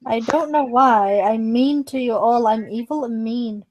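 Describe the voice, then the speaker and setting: A woman chatting casually in a group call, self-mocking and quick to laugh. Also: on the high side, darkish tone, poor audio. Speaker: group chat member.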